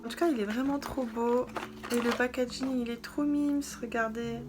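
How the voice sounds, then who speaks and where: A woman's voice: on the high side, fairly even intonation, normal speed, noisy background. A young woman beauty vlogger chatting casually and gushing about products in a haul video. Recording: music playing behind. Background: background music.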